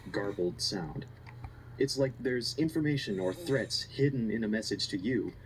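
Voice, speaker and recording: Man narrating analytically over background noise. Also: mid-range voice. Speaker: video essay narrator.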